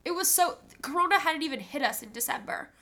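A woman's voice: sits high, animated, quick pace, pushed and bright. A young woman co-hosting a podcast with a stuffy nose, chatting casually and laughing often while telling stories. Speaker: podcast co-host. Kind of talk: casual chat.

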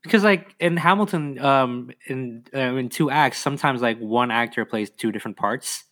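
This is a man with a medium-pitched voice. He speaks as a guest in a casual podcast conversation. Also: moderate pace, fairly bright tone. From Indonesia. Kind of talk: casual chat.

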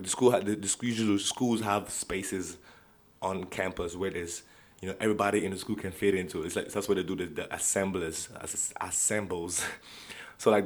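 A young man telling personal stories in a rambling way, with many restarts and frequent laughter. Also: sits low. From Nigeria. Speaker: vlogger. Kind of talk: casual storytelling.

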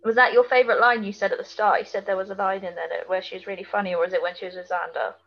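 A woman's voice: mid-range voice, steady tone, rapid-fire, gravelly, highs cut off. A woman co-hosting a podcast in casual chat, reacting with informal, blunt asides and questions. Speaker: podcast co-host.

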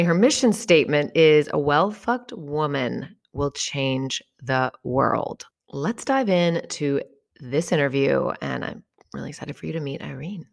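A woman's voice: sits low, creaky. A young woman hosting an interview podcast, enthusiastic and swearing casually as she introduces her guest. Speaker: podcast host.

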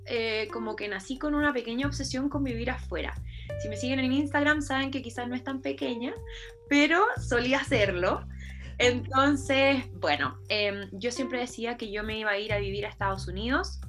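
A woman's voice: a little high, fairly bright tone. A young Chilean woman, a journalist and presenter, telling her story in a chatty way during an online talk. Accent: Chilean Spanish. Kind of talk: talk presentation on video call.

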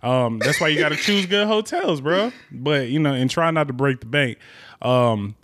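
A man's voice: fairly high pitch, lively intonation, normal speed, heavy background noise. A man hosting a casual chat in an informal, slangy way, repeating phrases for emphasis. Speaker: host.

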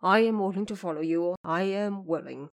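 A woman's voice: fairly low pitch, moderate pace, creaky. A woman voicing a male pig monster, submissive and earnest in repentance, in an audio drama. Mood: repentant.

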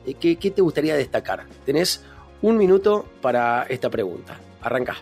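A man's voice: medium pitch, very animated, brisk, darkish tone, breathy edge, soft background music. A man with an Argentine accent moderating an informal political podcast, addressing his guests in a familiar way. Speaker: host and moderator.

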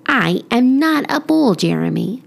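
A woman's voice: a little high, sing-song, a little slow, creaky, voice pushed up, full character voice. A woman voicing an earnest, literal-minded little schoolgirl in a storybook, with an emphatic, plain-spoken childlike manner. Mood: indignant.

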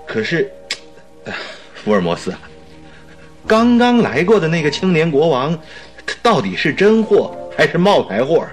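An actor playing an eager, talkative doctor and detective's sidekick in a radio drama, exclaiming theatrically. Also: male voice, on the high side, huge pitch swings, unhurried, long pauses, faint music behind. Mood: curious. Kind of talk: Mandarin radio drama acting.

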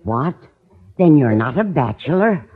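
An actress playing a sentimental, fretful older woman in love, pleading melodramatically in a radio sitcom with a husky voice. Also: low-pitched, very animated, unhurried, heavily acted. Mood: shocked. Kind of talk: 1950s radio sitcom acting. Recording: dull old radio recording, audience behind.

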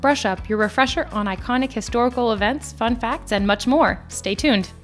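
A woman raised in France co-hosting a history podcast, giving clear, steady scripted narration in an explanatory tone. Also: on the high side, normal speed, soft background music. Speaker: podcast co-host.

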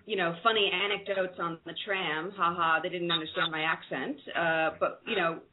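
A woman's voice: fairly low pitch, fairly even intonation, normal speed. A woman from the United States with an American accent answers reflectively as co-editor of a book. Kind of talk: radio interview answers. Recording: phone-like line with faint noise.

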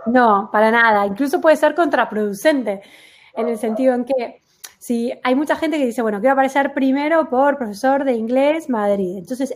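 A woman working as an SEO consultant, explaining emphatically in a casual conversation and reaching for quick everyday examples. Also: high-pitched, darkish tone.

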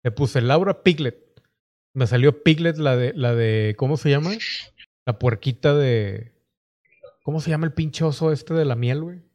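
A man's voice: medium pitch, normal speed, a little mellow. Man, Mexican accent, joking crudely, loose rambling stream chat, mid-range voice. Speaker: streamer host. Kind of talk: casual live-stream banter.

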